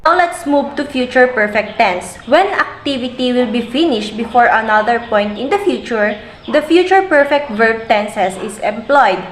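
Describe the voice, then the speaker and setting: A woman's voice: a little high, normal speed, slight background noise. Young woman explaining grammar in an echoey room. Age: young adult. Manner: steady explaining with example sentences. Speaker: student presenter. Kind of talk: reading a grammar lesson. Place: indoors, virtual slide background.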